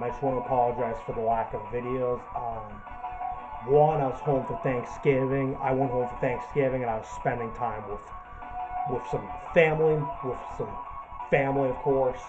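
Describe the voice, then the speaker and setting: A man's voice: mid-range voice, steady tone, moderate pace, dull audio. A young man getting over a cold, rambling through casual let's play commentary with plenty of ums and repeated words. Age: young adult. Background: game music.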